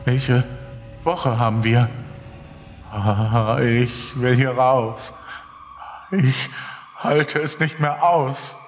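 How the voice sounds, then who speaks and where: A man voicing a desperate survivor losing his mind alone in a bunker, halting and anguished, in a horror story. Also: mid-range voice, fairly even intonation. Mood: despairing. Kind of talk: German horror audiobook narration. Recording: long reverb over background music.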